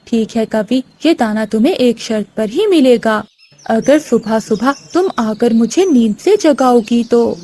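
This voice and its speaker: A woman acts out a farmer's wife from a children's cartoon. She has a fairly high voice and is firm, bossy and dismissive. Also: animated, unhurried, warm tone. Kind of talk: Hindi children's cartoon voice acting.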